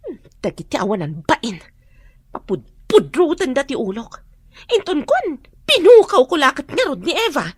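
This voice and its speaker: An actress playing an anxious, sharp-tongued woman searching for a missing girl, agitated and scolding in a radio drama. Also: high voice, sing-song, moderate pace, no echo, studio quality.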